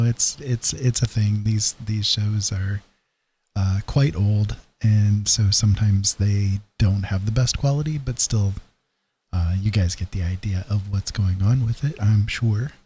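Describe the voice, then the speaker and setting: A man's voice: lowish voice, moderate pace, dark tone, a little hiss or hum. A man hosting a stream in a casual, rambling, hesitant way, often repeating his words. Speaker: streamer hosting old radio shows. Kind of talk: casual chat.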